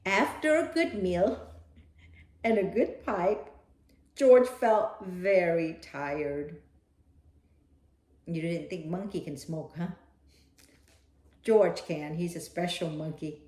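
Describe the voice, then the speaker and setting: A woman's voice: lowish voice, slow pace, pauses often, darkish tone, echoey room. A woman reading a children's picture book aloud expressively, with dramatic delivery and playful asides to a young listener.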